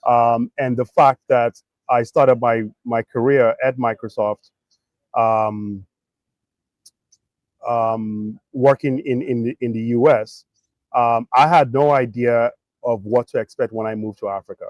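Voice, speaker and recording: A middle-aged man from Nigeria talks conversationally as an interview guest. His voice sits at an average pitch in a dry recording. He is reflective, often pausing or restarting partway through a phrase. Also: steady tone, dry recording, muffled recording. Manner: halting, thoughtful, pausing mid-phrase. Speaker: interview guest, tech executive.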